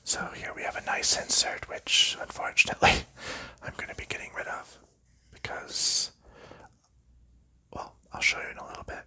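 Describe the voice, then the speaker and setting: Man whispering a calm ASMR unboxing. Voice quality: soft unvoiced whisper. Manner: slow, calm, explanatory. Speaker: ASMR board game reviewer.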